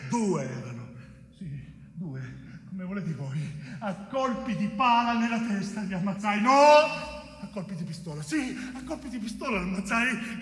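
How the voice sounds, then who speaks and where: Stage role: frightened young man, frantic and submissive, piercing and high, distant in a reverberant hall with music. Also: sharp tone, full character voice. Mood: panicked, compliant. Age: young adult. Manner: frantic, pleading, stammering repetitions. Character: terrified young bricklayer forced into a false confession. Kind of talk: theatrical stage monologue acting. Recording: echoey theatre hall from far off, music behind.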